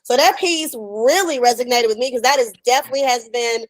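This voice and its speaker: A woman's voice: a little high, brisk, no room sound, poor audio. A middle-aged American woman hosting a podcast, chatting casually in an effusive, slangy way over a video call. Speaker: podcast host and developing actor. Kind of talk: casual emotional conversation.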